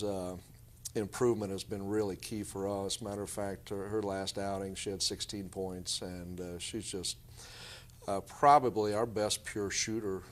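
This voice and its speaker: Low-voiced man, halting coach in interview. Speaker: basketball coach. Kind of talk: conversational interview answers.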